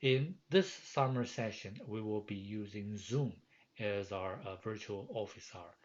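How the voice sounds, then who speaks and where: A middle-aged man from Taiwan, a professor giving a course welcome lecture from his home office, with many fillers and pauses. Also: on the low side. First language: Chinese. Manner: frequent hesitations and fillers, earnest. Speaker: university professor. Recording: dull lo-fi home recording.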